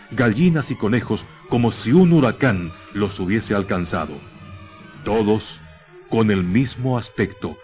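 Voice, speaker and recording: A man narrating a scripted radio horror drama in a grave, dramatic manner with deliberate pauses. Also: lowish voice, darkish tone.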